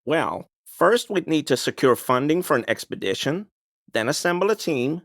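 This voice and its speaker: An actor voicing a fussy, defensive amateur cryptid enthusiast in a video game, with a pedantic, wordy, evasive and grumbling way of talking. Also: male voice, on the high side, big pitch swings, moderate pace, vocal fry, a bit bright, dry recording, clean studio sound, heavily acted. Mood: eager, scholarly. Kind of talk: video game character dialogue.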